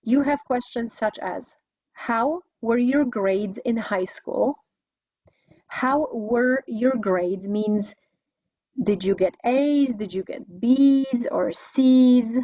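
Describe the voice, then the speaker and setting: A woman's voice: on the high side, slow pace, warm tone, no echo. A middle-aged woman with a Polish accent giving an English language lesson, enunciating carefully in an instructional way. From Poland. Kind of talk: scripted video lesson with listen-and-repeat drills. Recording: dry, slightly dull lo-fi recording.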